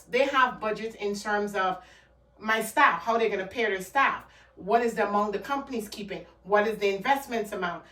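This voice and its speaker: A woman's voice: medium pitch, fairly bright tone, distant mic. A woman from Guyana with a Guyanese accent, a channel host giving earnest, emphatic money advice to camera. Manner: earnest, repeats points, emphatic. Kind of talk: casual talk-to-camera advice. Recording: echoey room, off the mic.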